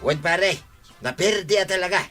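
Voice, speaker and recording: A man's voice: high-pitched, moderate pace, sharp tone, soft background music. An actor with an Ilocano accent playing a teasing, complaining village man in a comedy drama. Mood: insistent.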